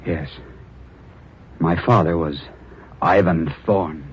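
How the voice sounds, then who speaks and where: An actor playing a young male reporter, an orphan with a haunted past, speaking gravely in a 1940s radio drama. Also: rumbling low, slow. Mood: somber. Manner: grave and subdued, rising to pleading. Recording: dull old radio recording.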